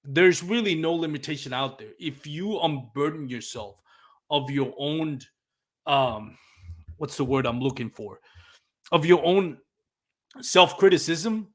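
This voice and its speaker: A man's voice: a little high, unhurried, long pauses. A man from Puerto Rico, a native Spanish speaker with a Puerto Rican accent, hosting a casual live podcast and talking reflectively, sometimes searching for words. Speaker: podcast host.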